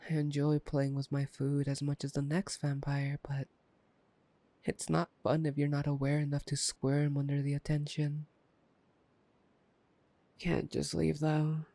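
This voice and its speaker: A man playing a taunting, playful, predatory vampire in an intimate ASMR roleplay, teasing and drawling with soft menace. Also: on the high side, monotone, unhurried, vocal fry, long pauses, no room sound. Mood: taunting, menacing.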